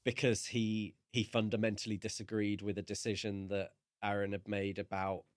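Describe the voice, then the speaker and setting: A man with a fairly low voice, recorded very dry, co-hosts a book podcast. He talks casually, feeling his way through each point in halting, considered phrases. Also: little pitch movement, dry recording. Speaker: podcast co-host.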